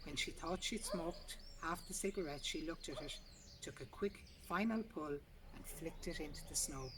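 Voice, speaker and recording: A woman's voice: sits low, moderate pace, noisy background. A woman reading a literary short story aloud outdoors in a garden. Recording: distant mic, loud outdoor birdsong. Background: birdsong.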